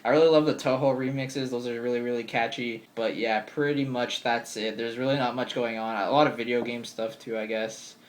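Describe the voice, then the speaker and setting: Chill young guy in his twenties, talks casual with lots of likes and ums, mid-pitched voice. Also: steady tone, fairly quick, hardly any pauses. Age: 20s. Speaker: channel host answering viewer questions. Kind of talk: casual Q&A vlog. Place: bedroom with game shelves.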